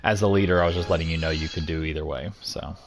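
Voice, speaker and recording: A man hosting a live gaming stream, chatting casually with friends and reading out viewer comments in a deliberate, playful way. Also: a bass voice, fairly even intonation.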